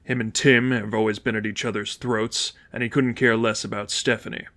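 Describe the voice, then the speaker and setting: A man voicing a stern, commanding father and vigilante leader, terse and low-voiced, in a storybook reading. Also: flat delivery, moderate pace, fairly bright tone. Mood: hushed, serious.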